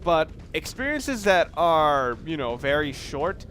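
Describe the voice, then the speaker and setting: A man's voice: fairly high pitch, big pitch swings, takes it slowly, a bit bright, a little hiss or hum. A man giving casual, analytical video game commentary, rambling and correcting himself as he goes. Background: video game sounds.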